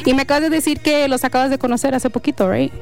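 A woman's voice: high voice, fairly even intonation, quick pace, creaky, darkish tone, soft background music. A woman hosting a casual interview, switching between Spanish and English in a chatty, teasing way. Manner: chatty and teasing, laughs often. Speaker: interview host.

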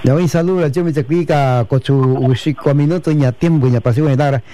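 A man's voice: medium pitch, a little fast, mellow. A man hosting a community radio show, sending long lists of greetings to towns and listeners. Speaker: radio host. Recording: radio broadcast.

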